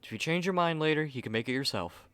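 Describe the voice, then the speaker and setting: A man's voice: fairly high pitch, expressive, fast talker, a bit bright, no room sound. A man playing a haughty, fussy young manga artist in an ASMR roleplay, speaking curtly and articulately to the listener on a close mic. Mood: composed, aloof.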